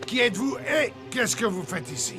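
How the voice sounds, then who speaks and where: A man's voice: high voice, big pitch swings, normal speed, music in the background. A man voicing a stern, accusing royal guard in a fairy-tale cartoon. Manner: official, accusing. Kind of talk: animated fairy-tale dub acting.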